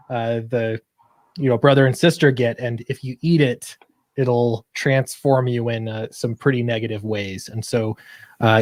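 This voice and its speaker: A man's voice: mid-range voice, darkish tone, dry recording. Casual male creator, dry close sound. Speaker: guest comic book creator. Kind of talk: casual interview chat about own project.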